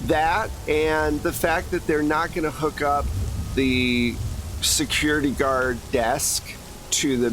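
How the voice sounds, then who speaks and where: A man's voice: medium pitch, a bit bright, slight background noise, poor audio. A man running a tabletop roleplaying game, improvising descriptive narration in a conversational way. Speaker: game master.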